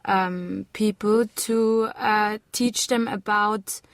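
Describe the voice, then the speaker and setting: A woman's voice: mid-range voice, steady tone, very slow, dry recording. A young woman, a journalism student, on a student radio panel, speaking hesitantly with many fillers. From half French, half Austrian. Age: young adult. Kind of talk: casual panel discussion. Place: small student radio studio.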